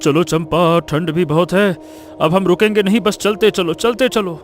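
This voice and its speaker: A man's voice: high voice, fairly even intonation, normal speed, slight background noise, close to an everyday voice. A man voicing a poor, protective village father in a Hindi cartoon story, earnest and often repeating himself. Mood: worried.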